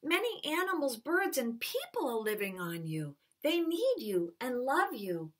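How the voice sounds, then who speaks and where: A woman's voice: high-pitched, very animated, measured pace, husky, pitched above the performer's own voice, exaggerated voice. A woman voicing a cheerful, noisy little girl who loves to sing, speaking eagerly and politely. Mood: earnest.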